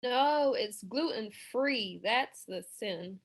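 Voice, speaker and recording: A woman's voice: on the high side, lively intonation, slow, exaggerated voice. A woman playing a poor, weary mother soothing and indulging her children, in a script read-through. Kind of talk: rehearsed table read of a screenplay.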